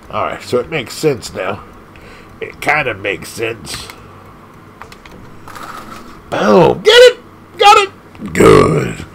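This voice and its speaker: A man casually commenting on an arcade game as he plays, excitable and laughing often, leaping from squeaky highs to low growls. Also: gravelly, a little hiss or hum. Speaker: gaming channel host.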